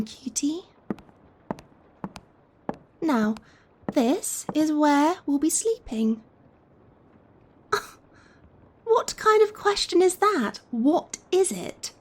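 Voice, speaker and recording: A woman playing a high-voiced, slow, coaxing vampire giantess. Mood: tired, caring, then teasing, possessive. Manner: slow, coaxing, softly commanding, breathy sighs and chuckles. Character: giant vampire woman, gentle, doting, teasingly possessive. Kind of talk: ASMR roleplay, addressing the listener intimately.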